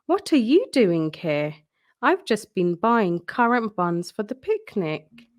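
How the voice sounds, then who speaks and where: Storybook boy kitten, played by a woman: cheerful, chatty, lively intonation. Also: medium pitch, slow pace.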